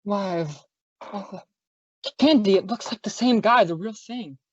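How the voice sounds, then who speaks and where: A woman's voice: mid-range voice, measured pace, dry recording, close to an everyday voice. A woman playing a matter-of-fact male police detective in an old-time radio drama, terse and businesslike. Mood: impressed. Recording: dull lo-fi audio.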